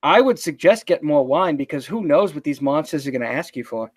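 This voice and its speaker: A man's voice: on the high side, animated, a little fast, no echo. Joking man in his thirties, dry close sound. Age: 30s. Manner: joking, self-deprecating. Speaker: livestream show host. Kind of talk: casual livestream chat.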